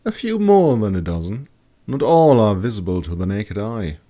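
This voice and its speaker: A man voicing a knowledgeable woman astrologer in a fantasy audiobook, explaining things in a measured, assured way. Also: fairly low pitch, very animated, mellow, voice pushed up.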